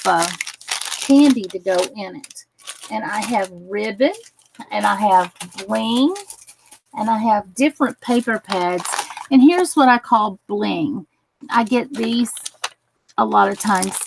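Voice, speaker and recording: Chatty giggly lady doing a craft stream, slow easy talk, bit croaky, faint hiss behind. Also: mid-range voice, poor audio. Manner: chatty, giggly, greets viewers by name. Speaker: crafting channel host. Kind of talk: casual craft tutorial livestream.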